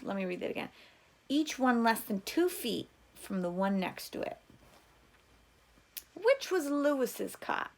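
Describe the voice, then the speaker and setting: A woman's voice: mid-range voice, expressive, moderate pace, vocal fry, a little mellow. A woman reading a children's novel aloud as a teacher would.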